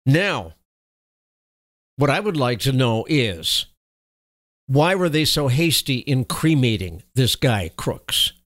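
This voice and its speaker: A man's voice: medium pitch, sing-song, takes it slowly, pauses often, a bit bright, no room sound, studio quality. A man hosting a commentary podcast, recapping a report and then signing off with folksy, opinionated remarks. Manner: opinionated, folksy sign-offs. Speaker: podcast host.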